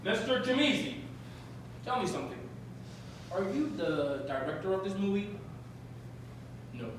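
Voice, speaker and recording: A man puts on a bossy, condescending film producer, lecturing curtly with a lively, rising pitch. The sound is distant and echoey, a live stage with noise underneath. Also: a little slow. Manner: lecturing, curt, commanding. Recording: roomy live theatre, distant mic, noisy.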